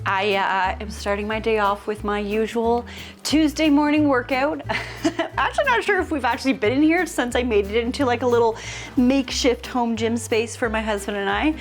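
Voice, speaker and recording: A woman hosting a DIY vlog, talking in an upbeat, expressive, casual and chatty way. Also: a little high, normal speed, soft background music. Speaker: host of a DIY home makeover vlog.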